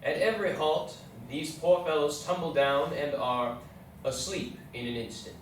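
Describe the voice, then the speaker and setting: A man playing an earnest American explorer captain, reciting his journal in a measured, formal, literary manner. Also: on the high side, steady tone, lightly acted. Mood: sympathetic. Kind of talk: readers theatre journal recitation. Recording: echoey hall, distant mic, faint noise.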